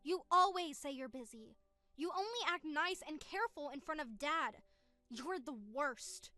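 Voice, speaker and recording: A woman's voice: high voice, a little fast, fairly bright tone, smooth, no echo, faint music behind. A woman playing an indignant young sister. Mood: accusing. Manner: accusing, indignant. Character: young woman, angry sister scolding brother.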